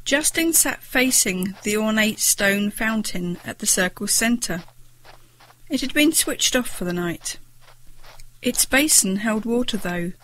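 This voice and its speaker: A woman's voice: medium pitch, animated, slow, fairly bright tone, a little hiss or hum, rough recording. A woman narrating scripted short stories for an audiobook.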